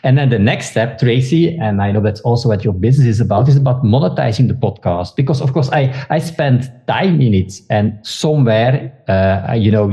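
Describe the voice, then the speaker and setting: A man from Belgium with a Belgian Dutch accent, a podcast guest talking casually in halting sentences with many restarts. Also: mid-range voice, mellow. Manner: halting, with frequent restarts and fillers. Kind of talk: casual interview talk.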